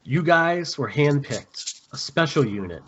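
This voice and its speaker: A man's voice: a little high, expressive, unhurried, fairly bright tone, lo-fi sound, a little hiss or hum. A man playing a stern, commanding squad leader who gives clipped military orders in a script read. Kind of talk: screenplay table read.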